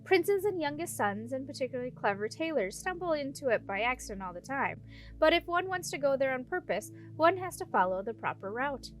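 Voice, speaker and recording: Woman playing a calm female dragon, high voice. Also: fairly quick, rough recording. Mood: matter-of-fact. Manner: reassuring, instructive. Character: calm, matter-of-fact female dragon, protective guide.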